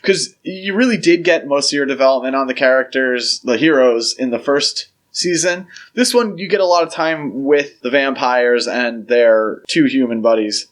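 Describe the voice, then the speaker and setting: A man's voice: mid-range voice, moderate pace, few pauses. A man co-hosting a podcast, giving his opinions in an informal, conversational review chat. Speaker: podcast co-host.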